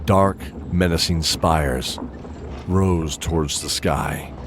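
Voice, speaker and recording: A man narrating a fantasy audio drama in a theatrical, deliberate style with measured pauses. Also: low voice, noisy background. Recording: loud music under the voice.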